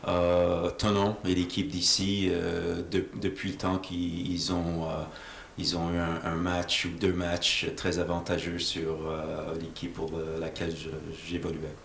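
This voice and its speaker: Male interpreter, very low and creaky, hesitant delivery, recorded in a roomy, echoing space. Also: completely flat delivery, a bit bright. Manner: halting, searching for words. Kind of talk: consecutive interpreting of interview answers. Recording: echoey room with faint noise.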